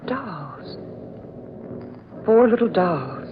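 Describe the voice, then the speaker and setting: An actress playing a well-bred, alarmed and inquisitive young lady in an old radio horror drama, with deliberate dramatic pauses. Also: lowish voice, big pitch swings, heavily acted. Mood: horrified wonder. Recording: muffled, noisy vintage radio recording.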